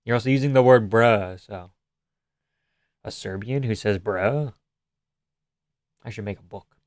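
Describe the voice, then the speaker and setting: Young canadian guy on a live stream, sarcastic, swears a lot, smooth voice, dry close mic. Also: fairly low pitch, unhurried, a little mellow, no room sound. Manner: casual, sarcastic, swears freely. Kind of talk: live stream fight chat reading viewer comments.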